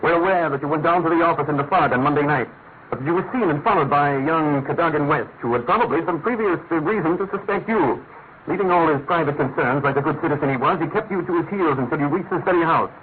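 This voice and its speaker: A man plays a masterful British gentleman detective in a vintage radio play. The voice sits fairly high, theatrical and authoritative, over a tinny broadcast with faint hiss. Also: fast talker, lightly acted. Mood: stern, commanding. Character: commanding, incisive English gentleman detective. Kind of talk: old-fashioned British radio drama acting.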